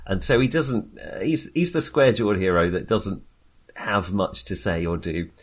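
A man with a fairly low voice hosts a relaxed discussion about films. He feels his way through sentences haltingly and keeps a jokey, understated wryness as he talks. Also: breathy edge. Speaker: film podcast host. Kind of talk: casual film discussion.

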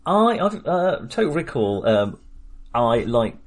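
A man's voice: mid-range voice, sing-song, slow. A man co-hosting a casual film podcast, talking with many fillers and restarts. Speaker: podcast co-host.

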